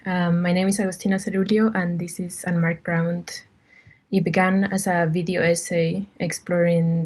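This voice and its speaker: A woman from Argentina presenting her project in a measured, reflective way over a video call. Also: fairly low pitch, a little mellow. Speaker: masterclass participant. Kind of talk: reading a prepared project presentation.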